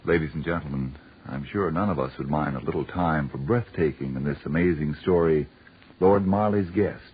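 A man's voice: rumbling low, normal speed, slight background noise. A man working as a 1940s radio announcer, formal and emphatic as he delivers scripted sponsor copy. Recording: tinny 1940s radio recording.

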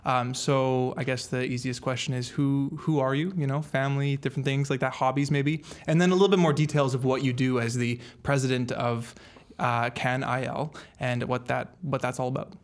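A man's voice: mid-range voice, fairly even intonation, moderate pace. A young man, hosting a casual interview in an informal, affirming way. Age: young adult. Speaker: podcast host, interviewer.

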